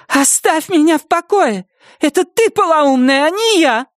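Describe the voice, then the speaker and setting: A woman voicing a timid, high-strung older woman with a high, thin, trembling voice, shrieking in panic. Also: expressive, unhurried, no room sound, studio quality. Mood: panicked shrill. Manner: shrieking, panicked, near tears. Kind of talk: audiobook character voice.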